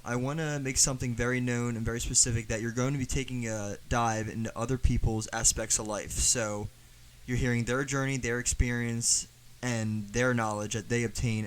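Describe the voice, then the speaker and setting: Male podcast host, relaxed and chatty, conversational interviewer. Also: medium pitch, a little hiss or hum. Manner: casual, chatty questions with frequent laughs.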